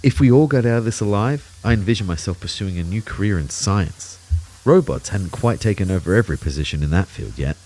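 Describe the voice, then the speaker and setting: A man playing a self-deprecating everyman narrator in an audio drama, telling his story in measured, wry first person. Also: low-pitched, expressive, a little mellow, rough recording. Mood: wry, reflective. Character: male narrator, self-deprecating everyman turned invisible ghost.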